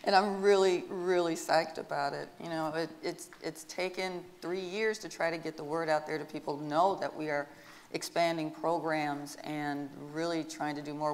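A woman's voice: deep voice, long reverb tail. A woman speaking as a panelist about an airport arts program, eager and promotional. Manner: enthusiastic, promotional. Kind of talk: panel discussion. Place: stage before an audience in a large hall.

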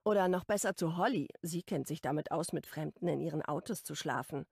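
Woman doing a teasing laid-back young guy from an audiobook, blunt, bit of fry in the voice, dry sound. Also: sits low, fairly quick, a little mellow, dry recording, heavily acted. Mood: casual, probing. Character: Rome, teasing, easygoing young man, a brother.

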